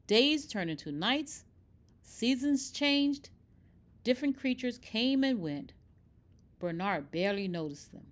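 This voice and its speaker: A woman reading a children's picture book aloud in expressive, scripted narration. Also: a little high. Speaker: storybook reader.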